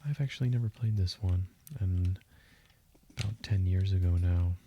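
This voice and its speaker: A man giving calm, soothing game commentary in a hushed, deliberate voice that drifts toward a whisper, as if for sleep. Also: sits low, lo-fi sound. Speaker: gaming video host. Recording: faint hiss with keyboard and mouse clicks. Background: keyboard typing and mouse clicking.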